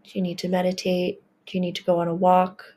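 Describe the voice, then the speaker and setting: A woman leading a guided healing meditation, speaking in a gentle, reassuring and affirming way. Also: lowish voice, monotone, quick pace.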